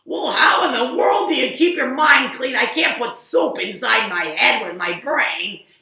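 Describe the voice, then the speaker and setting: A woman's voice: expressive, unhurried, far from the mic, heavily acted. A woman playing a fretful, indignant farm cow. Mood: worried, indignant. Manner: shouting complaints, high and strained. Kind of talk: improvised children's story. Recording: roomy echo, a little off-mic.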